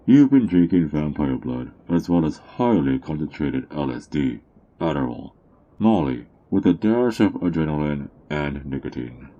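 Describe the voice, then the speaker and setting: A man playing a sly, mocking underground drug dealer in an ASMR roleplay, teasing and smug, with theatrical drawn-out words. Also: very deep voice, mellow, highs cut off. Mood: gloating. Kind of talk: ASMR character roleplay monologue.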